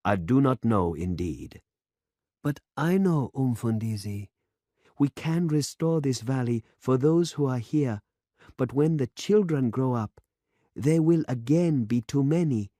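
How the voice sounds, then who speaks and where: A man's voice: mid-range voice, animated, a little slow, dark tone, no room sound, studio quality, voice pushed up. A man reading aloud and voicing an earnest, impatient young male farming demonstrator in an urgent, insistent manner.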